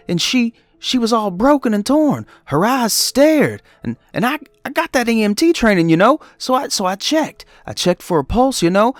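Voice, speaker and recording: A man's voice: very high voice, no echo. A man voicing a guilt-ridden trucker who stammers and pleads through a horror story confession. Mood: anguished, shaken. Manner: stammering, repeating words, pleading. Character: distraught guilt-ridden male trucker confessing a fatal accident. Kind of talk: dramatic horror story monologue.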